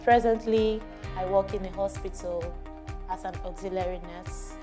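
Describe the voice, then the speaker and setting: A woman's voice: mid-range voice, fairly even intonation, normal speed. A twenty-year-old woman, an auxiliary nurse, introducing herself carefully. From Nigeria. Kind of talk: self-introduction. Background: background music.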